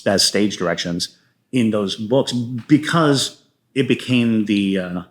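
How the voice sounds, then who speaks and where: Guy with a lowish voice chatting as an interview guest, really into it, kinda rambles and stops and starts. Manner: enthusiastic, rambling and halting. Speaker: playwright guest. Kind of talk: casual interview conversation.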